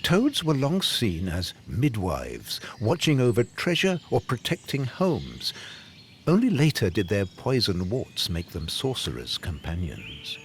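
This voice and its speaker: A man narrating a scripted nature and folklore documentary, telling it in a measured, dramatic storyteller's way. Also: lowish voice, wildly swooping pitch, slight background noise. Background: clear background music.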